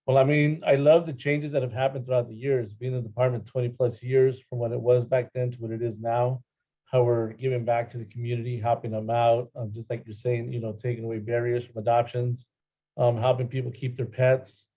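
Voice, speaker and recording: A man's voice: medium pitch, little pitch movement, a little fast, muffled recording. A middle-aged man from Los Angeles answering conversationally as a panel guest. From Los Angeles, California. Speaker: panel guest, animal services worker.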